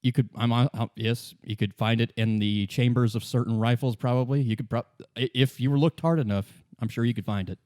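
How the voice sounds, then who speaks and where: A man's voice: medium pitch, fairly even intonation, brisk, darkish tone, no echo, lightly acted. Tabletop game role: proud old ex-guard played by a man, deferential, courteous weapons buff, dry close sound. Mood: helpful, courteous.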